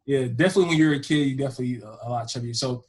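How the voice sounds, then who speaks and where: A young man hosts a casual podcast in a voice of average pitch. He rambles, leans on filler words, and keeps the chat easygoing. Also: very fast, darkish tone. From Houston, Texas. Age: young adult. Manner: rambling, full of fillers like "you know". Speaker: podcast host.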